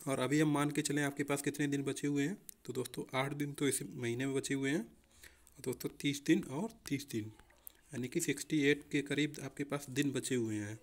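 A young man explaining exam preparation informally as a coaching teacher, often addressing listeners as friends. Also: mid-range voice.

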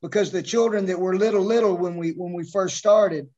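A middle-aged man with a notably high voice talks about his mission work, restarting his sentences often. The webcam audio is muffled and lo-fi. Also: fairly quick, darkish tone. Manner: devout, hesitant speech with many restarts. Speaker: missionary, orphanage founder. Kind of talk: interview on a video call. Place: home room, beside his wife. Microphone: video call webcam. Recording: muffled lo-fi video call audio.

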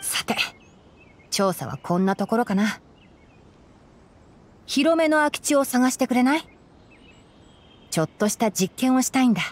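A woman voicing a gentle young male forest ranger and scholar in a Japanese anime game, measured and explanatory. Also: on the high side, lively intonation, slight background noise. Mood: explaining. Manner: measured, explanatory, soft-spoken.

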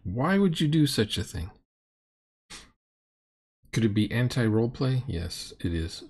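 A man's voice: mid-range voice, sing-song, measured pace, darkish tone, husky. A middle-aged man giving unscripted, exasperated and sarcastic commentary as the host of a reaction video. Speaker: reaction video host critiquing a role-playing session.

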